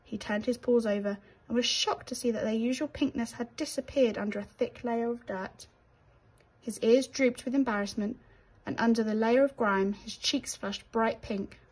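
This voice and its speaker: A British woman narrates a children's storybook. Her voice sits a little high with a husky, unpolished edge, and she reads expressively, as a teacher would to young listeners. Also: fairly even intonation, normal speed, darkish tone, rough recording.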